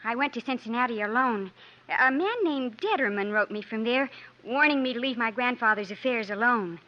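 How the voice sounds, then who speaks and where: A woman's voice: a little high, expressive, normal speed, lightly acted. An actress playing an anxious, well-bred young lady in a 1950s radio drama, with a refined, earnest, dramatic manner. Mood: guarded, anxious. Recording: muffled vintage 1950s radio recording.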